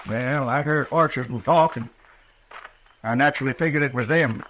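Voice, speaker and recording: A man's voice: mid-range voice, normal speed, a bit bright, a little hiss or hum, lightly acted. A man acting an ornery, blustering old frontier father with a rural American accent in a 1950s western radio drama. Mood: grudging, defensive. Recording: vintage radio drama recording.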